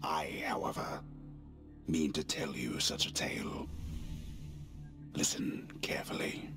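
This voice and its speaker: A solemn old teller of myths, with an extremely low voice. He narrates a game gravely and deliberately, with theatrical weight, over clear background music. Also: in a whisper, full character voice. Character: solemn old male storyteller of myth. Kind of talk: dramatic scripted game narration.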